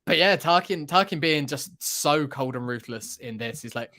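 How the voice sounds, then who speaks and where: A man's voice: a little high, animated, normal speed, bright, forceful tone. A man co-hosting a podcast, chatting casually with the enthusiasm of a film fan. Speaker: podcast co-host. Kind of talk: casual chat.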